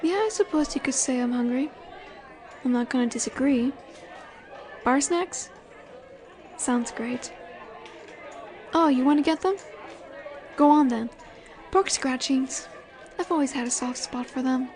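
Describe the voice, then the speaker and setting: A woman's voice: high voice, a little mellow. A woman playing a confident, flirtatious werewolf alpha in a roleplay audio drama, teasing and seductive in her delivery. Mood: coy, teasing. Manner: teasing, seductive, slow and assured. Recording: bar chatter behind the voice. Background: bar ambience with crowd murmur.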